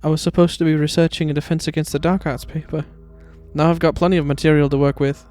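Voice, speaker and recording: A man's voice: a little high, little pitch movement, quick pace, a little mellow, faint music behind, voice pushed up, close to an everyday voice. A man voicing a wry, peacemaking teenage schoolboy wizard in an amateur fan-fiction audio drama. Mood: forced cheer.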